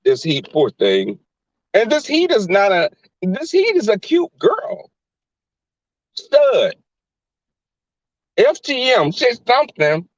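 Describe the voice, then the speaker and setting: A Southern-accented man talks loosely on a live stream, crass and flamboyant, with a voice pitched a touch high that keeps jumping into squeals. The audio is dull and lo-fi, and the room is dry. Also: wildly swooping pitch, slow pace, pauses often, dry recording. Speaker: livestream host. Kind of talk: casual live-stream chat.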